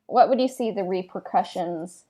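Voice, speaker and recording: A woman's voice: on the low side, moderate pace, mellow. A woman co-hosting a casual podcast discussion, informal and thinking aloud in fragments. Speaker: podcast co-host.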